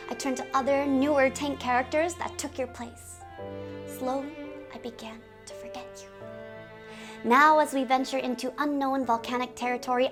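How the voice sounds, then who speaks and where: A woman hosting a game community video series, speaking to viewers in an animated, giggly, presenter's way. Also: high-pitched. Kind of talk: upbeat scripted video presenting with ad-libbed asides.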